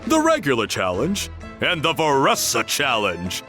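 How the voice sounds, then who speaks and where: Jovial game contest host, hearty storyteller, mid-pitched, lively intonation, light music behind. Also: male voice, a little slow, full character voice. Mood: amused, explaining. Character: jovial man hosting a village eating contest. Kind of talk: video game voice acting.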